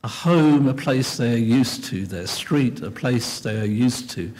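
An older man from England giving an earnest, measured keynote lecture at a podium microphone in a large hall. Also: medium pitch, animated, a little mellow, a little hiss or hum. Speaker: keynote speaker. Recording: long hall reverb.

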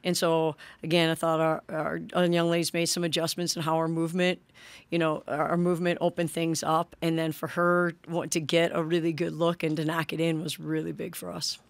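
A woman's voice: deep voice, little pitch movement, no room sound. American woman coach at a press mic. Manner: measured, frequent restarts and "you know". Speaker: head basketball coach. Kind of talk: postgame press conference answers. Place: press room in front of a sponsor backdrop. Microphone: desk microphone on a stand.

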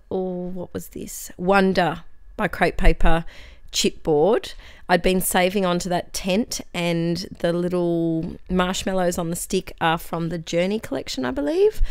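A young Australian woman with a hoarse, croaky voice, chatting casually over her scrapbooking video with lots of fillers. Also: fairly low pitch, dry recording, studio quality. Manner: chatty, friendly, tangents and fillers. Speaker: scrapbooking channel host. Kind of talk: voice-over for a craft process video. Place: home craft desk.

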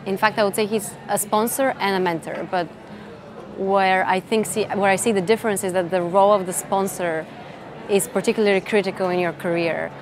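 A woman in her thirties from Bulgaria giving measured, reflective interview answers with some fillers and restarts. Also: medium pitch, background noise. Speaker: interviewee, tech executive. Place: event interview set, indoors. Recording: music bed behind voice.

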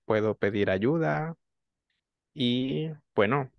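A man in his thirties, a student in an online language class, speaking slowly and reflectively into a desk microphone in a small room. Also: medium pitch, vocal fry, dry recording, dull audio. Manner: slow, reflective, measured. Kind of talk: online language lesson chat. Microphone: desk mic on boom arm.

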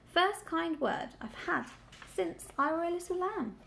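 Hoarse, grumbling old country ewe. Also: female voice, very high-pitched, lively intonation, a little slow, fairly bright tone, a little hiss or hum, pitched above the performer's own voice, full character voice. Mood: mollified, grumbling. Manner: grumbling rustic dialect, complaining. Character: hoarse, complaining, lame old ewe, sour and mournful.

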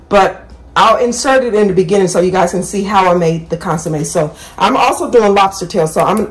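A woman hosting a casual cooking tutorial from her home kitchen, chatting straight to viewers and correcting herself as she goes. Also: mid-range voice. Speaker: cooking channel host.

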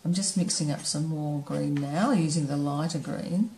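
Low, gravelly woman teaching painting, relaxed, thinking aloud, echoey studio room. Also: moderate pace, slight background noise. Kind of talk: painting demonstration narration. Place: studio table, camera overhead.

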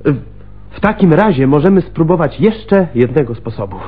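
A man's voice: fairly high pitch, animated, normal speed, husky, narrow, tinny audio. An actor playing a plain-spoken, deferential junior policeman in a Polish radio play. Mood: hesitant, puzzled. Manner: colloquial, deferential.

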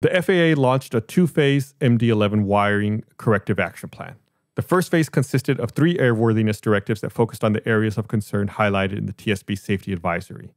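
A man's voice: on the low side, a little fast, dry recording, studio quality. An American man hosting a podcast, reading out and explaining a report in a matter-of-fact way. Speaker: podcast host.

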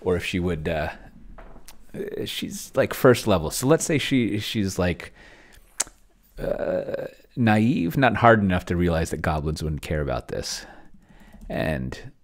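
A middle-aged man giving casual live commentary as he types and reads aloud, thinking out loud and trailing off, close on a desk microphone. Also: low-pitched, big pitch swings, slow pace. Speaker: solo role-player and streamer demonstrating AI game mastering. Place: small indoor room. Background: computer keyboard typing.

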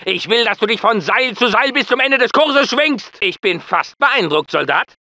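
An actor playing a scornful, bullying drill sergeant in a video game, barking commands and taunts emphatically. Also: male voice, high-pitched, lively intonation, moderate pace, bright, forceful tone, dry recording, exaggerated voice. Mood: taunting. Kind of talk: video game training instructions.

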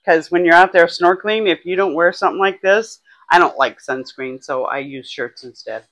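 A woman's voice: on the low side, moderate pace, fairly bright tone. A woman in her sixties chatting casually and listing items for a vlog, recorded indoors on a clip-on mic with some background noise. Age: 60s. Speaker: vlog co-host. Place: indoors in a small home kitchen and bedroom. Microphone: clip-on lapel mic.

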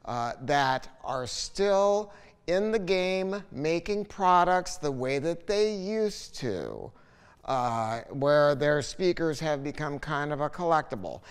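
A man's voice: slow pace, smooth. An American man in his sixties presenting a product in a casual, unscripted, opinionated and rambling way, standing in a large living room. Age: 60s. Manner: animated, opinionated, salty, rambling storytelling. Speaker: audio dealer presenting a speaker review. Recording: echoey large high-ceilinged room.

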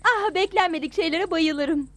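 A woman's voice: very high voice, moderate pace, a bit bright, no echo. A woman voicing a fearless, adventure-loving young bride in a Turkish cartoon dub, bold and dramatic. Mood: thrilled. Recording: music bed under the dialogue.

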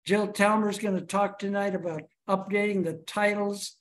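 A man's voice: high voice, steady tone, moderate pace. A man from Chicago giving a prepared speech to his club over a video call, telling his story with rhetorical questions. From Chicago, USA.

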